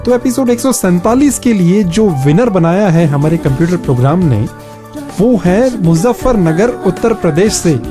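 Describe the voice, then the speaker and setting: High-pitched man announcing on radio. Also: animated, normal speed, over music. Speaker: radio show team member announcing a contest winner.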